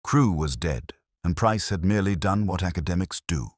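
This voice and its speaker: A man with an exceptionally deep voice narrates a detective story in the first person. His delivery is measured and brooding, with a literary turn and a lively, varied melody. The sound is very dry, with no room around it. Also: no room sound.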